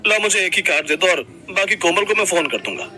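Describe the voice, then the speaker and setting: Earnest young husband, acted by a man, forceful. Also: high-pitched, lively intonation, fairly quick, exaggerated voice. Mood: matter-of-fact. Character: young brother and husband, partial, earnest and fretful. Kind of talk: cartoon story character voice.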